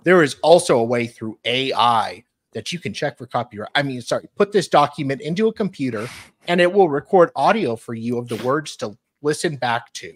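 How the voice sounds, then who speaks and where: A man's voice: mid-range voice, animated, fairly quick, no echo, lightly acted. A man voicing an earnest, helpful book co-author in a dramatic reading of emails.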